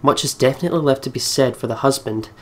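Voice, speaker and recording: Man, medium pitch, expressive read-aloud, let's play narration. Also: fast.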